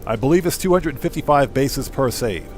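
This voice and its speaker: A man's voice: mid-range voice, expressive, brisk. A man doing casual live game commentary, talking informally to his viewers and repeating phrases for emphasis. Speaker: live streamer and channel host. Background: music.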